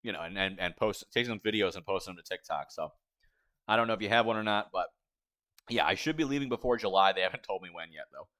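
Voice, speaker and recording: A young man in his twenties talks casually to his livestream chat, his voice sitting somewhat low. He rambles and circles back on himself as he answers viewers. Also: lively intonation, quick pace, bright, forceful tone. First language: English. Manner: rambling, chatty, reads chat aloud. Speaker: streamer.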